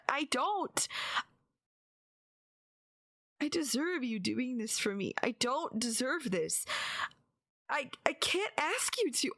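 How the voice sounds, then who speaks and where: A woman playing a despairing, lovesick yandere kidnapper in an intimate audio roleplay, halting and pleading, often slipping into half-whispers. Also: high-pitched, gravelly, a bit bright, no room sound, studio quality, heavily acted. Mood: tearful, overwhelmed. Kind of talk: intimate ASMR-style dramatic audio roleplay.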